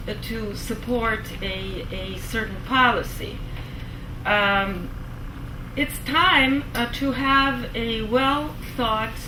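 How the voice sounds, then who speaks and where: A woman speaking on a panel, measured and hesitant, pausing with fillers. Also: mid-range voice. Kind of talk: public discussion remarks. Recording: echoey hall from far off, noisy.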